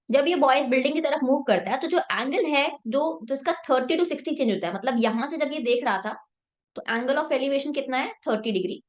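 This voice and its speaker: Woman teacher with a sore throat. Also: high voice. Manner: explains step by step, asks and answers own questions. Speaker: math teacher. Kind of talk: Hindi-English math lesson.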